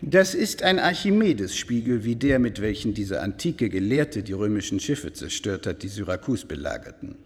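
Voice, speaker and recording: An actor playing a proud, courteous lord of a medieval castle in a radio drama, speaking ceremoniously. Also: male voice, lowish voice, big pitch swings, moderate pace, hardly any pauses, slightly rough. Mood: proud explaining. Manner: ceremonious, explanatory. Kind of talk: literary radio drama acting. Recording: long hall reverb.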